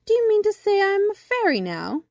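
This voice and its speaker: A woman's voice: on the high side, sing-song, moderate pace, a little mellow, no echo, clean studio sound. A woman voicing a small, naive, plucky boy with eager questions in a children's storybook. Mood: surprised.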